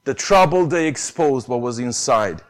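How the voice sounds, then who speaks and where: A man's voice: medium pitch, lively intonation, normal speed. A man with a Romanian accent preaching a sermon earnestly, pressing hard on key phrases. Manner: earnest, rises to emphatic stresses on key phrases.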